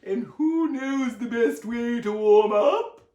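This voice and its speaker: Shrill, theatrical, gleeful aunt. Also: male voice, reverberant. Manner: loud, drawn-out, theatrical exclaiming. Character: gleeful, bossy, short round aunt.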